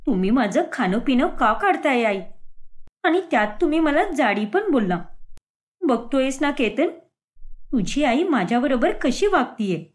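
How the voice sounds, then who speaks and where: A stubborn young daughter-in-law in a cartoon story, played by a woman. She complains in a high, swooping voice, dramatic and aggrieved. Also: sing-song, a little slow. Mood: hurt, indignant. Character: whiny, stubborn young daughter-in-law who loves food. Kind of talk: animated moral story dialogue.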